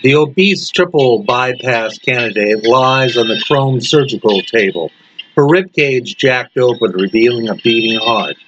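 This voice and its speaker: Slow man narrating horror over loud noise. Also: mid-range voice. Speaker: story reader. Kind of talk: scripted horror story narration. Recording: muffled lo-fi with loud background noise.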